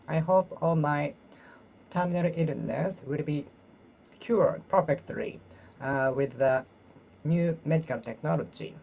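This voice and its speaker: A woman's voice: low-pitched, creaky, darkish tone, a little hiss or hum. A woman with a Japanese accent practicing English alone, repeating phrases over and over and correcting herself as she goes. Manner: repeats and reworks phrases, pausing and self-correcting. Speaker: English learner. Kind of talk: solo speaking practice, talking to herself.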